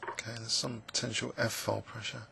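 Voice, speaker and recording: A man with a croaky, gravelly voice, musing hesitantly with many fillers as he commentates his own blitz chess game. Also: on the low side, little pitch movement, a little fast, noisy background. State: croaky voice, clearing throat. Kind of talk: live game commentary, thinking aloud.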